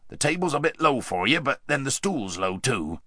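A man voicing a gruff, bustling male red dwarf in an audiobook reading, brisk and hearty. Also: mid-range voice, very animated, sharp tone, no echo, studio quality, pitched above the performer's own voice.

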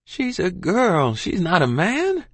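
A man's voice: mid-range voice, big pitch swings, slow, fairly bright tone, breathy edge, no echo, heavily acted. Man voicing a pleading, frightened colonial mother. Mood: desperate protest. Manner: pleading, anguished. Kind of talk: audiobook character dialogue.

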